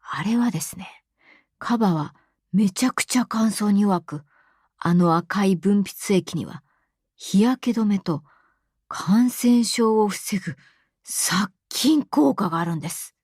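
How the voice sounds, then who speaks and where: Woman voicing an earnest, halting, slow-spoken man. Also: sits high, a little mellow, slightly rough, dry recording, clean studio sound, heavily acted. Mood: earnest, passionate. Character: earnest awkward man passionate about animals, hesitant in love.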